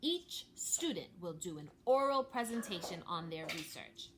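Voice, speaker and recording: A woman reading a children's story aloud in an expressive, animated storytelling manner. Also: fairly low pitch, normal speed, noisy background. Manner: animated, raises voice for dialogue.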